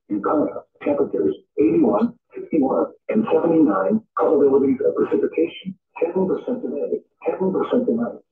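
A man's voice: sits high, vocal fry. A synthetic man's voice reading an automated weather forecast as a radio announcer in clipped, formulaic phrases, played over a radio. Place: radio speaker playing in a home room. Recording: dull radio playback in echoey room.

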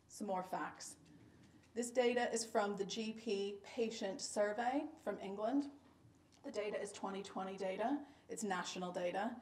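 A woman's voice: mid-range voice, a little mellow, slight background noise. A woman from the United States with a Boston accent, giving an emphatic, data-driven keynote talk at a conference. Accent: Boston American. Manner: emphatic, data-driven, punchy repeated "fact" refrains. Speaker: keynote speaker, health service director. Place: conference lecture room. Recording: echoey room recorded from a distance.

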